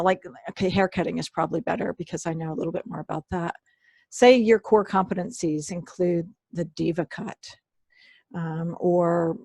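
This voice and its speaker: A woman presenting an online workshop, hoarse and losing her voice, often clearing her throat and apologizing. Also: lowish voice, a little mellow, dry recording. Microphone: computer mic on a video call.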